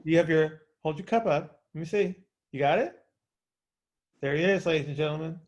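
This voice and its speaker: A man with a creaky voice, casually explaining as a coach hosting a group call, often checking that listeners follow. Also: fairly high pitch, normal speed. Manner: informal, hands-on, checks understanding with short tag questions. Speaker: host and coach.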